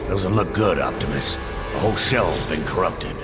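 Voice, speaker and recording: A man's voice: a bass voice, lively intonation, moderate pace. A man voicing a grumbling veteran robot warrior in a video game, blunt and sardonic. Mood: grim, disgusted. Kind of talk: video game cutscene acting. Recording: game audio under loud music.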